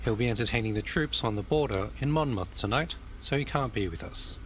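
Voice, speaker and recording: An actor playing a kind, formal old-school gentleman who chairs a meeting politely in an audio drama. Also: mid-range voice, fairly quick, slight background noise, natural voice. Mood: genial, formal.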